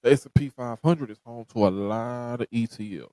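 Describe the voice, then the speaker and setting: A man's voice: mid-range voice, moderate pace, dark tone, dry recording. Preachy man host. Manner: preachy, direct, repeats himself. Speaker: podcast host. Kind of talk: motivational talk.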